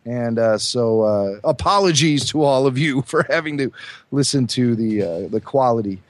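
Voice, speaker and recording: Hoarse male podcast co-host, chatty and trivia-loving; lively pitch, dry close mic. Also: moderate pace, no echo. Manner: chatty, enthusiastic, fond of trivia. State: hoarse from a cold. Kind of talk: casual chat.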